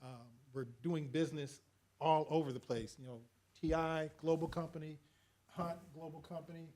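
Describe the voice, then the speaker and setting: A man's voice: fairly high pitch, flat delivery, normal speed, dark tone. A man speaking as a panelist to an audience, earnest, chuckling now and then, with many fillers. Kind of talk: panel discussion.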